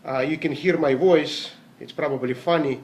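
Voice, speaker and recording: Man in his 50s, from Latvia, earnest rambling sales speaker, slightly high voice. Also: moderate pace. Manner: earnest, rambling, self-correcting, non-native grammar. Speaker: network marketing speaker. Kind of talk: motivational talk with personal stories.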